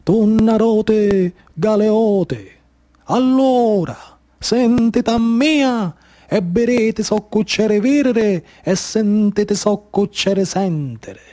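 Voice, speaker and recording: A man's voice: high voice, extremely slow, mellow, voice pushed up. A man with a Sicilian accent voicing a tuna-fisherman diver who declaims sea marvels to his crew in an incantatory folk storytelling manner. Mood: proclaiming.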